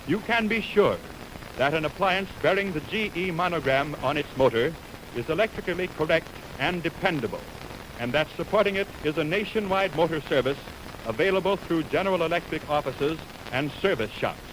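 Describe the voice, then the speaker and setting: High-voiced American man, noisy 1920s radio announcer. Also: expressive. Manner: formal, measured declaiming. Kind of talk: 1920s radio announcing, formal scripted narration. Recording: noisy, hissy 1929 radio aircheck on film.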